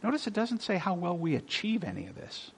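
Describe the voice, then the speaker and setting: A male pastor preaching a sermon, reading scripture loudly and dropping to soft, hushed whispers. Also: sits high, wildly swooping pitch, a little fast, vocal fry, a little hiss or hum. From Texas.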